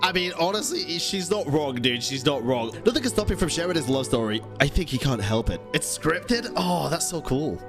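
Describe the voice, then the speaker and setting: A man's voice: a little high, animated, normal speed, hardly any pauses. A young man giving casual, slangy reaction commentary as a game streamer. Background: game music.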